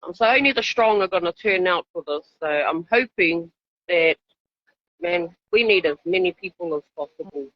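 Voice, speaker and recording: A woman's voice: lowish voice, expressive, moderate pace, no echo. New Zealand woman activist, dull stream audio. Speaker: activist interviewee. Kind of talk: informal live interview account. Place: outside a hospital, live call.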